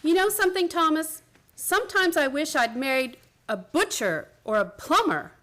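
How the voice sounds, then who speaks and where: A woman's voice: sits high, lively intonation, normal speed, a bit bright. An actress playing a chiding, protective wife and mother in a radio play. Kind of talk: stage-like radio play acting.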